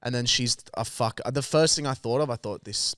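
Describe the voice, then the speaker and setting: An Australian man hosts a podcast with blunt, crude banter and frequent swearing. His voice sits at a middle pitch and is recorded close and dry. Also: normal speed, a bit bright, no room sound. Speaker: podcast host.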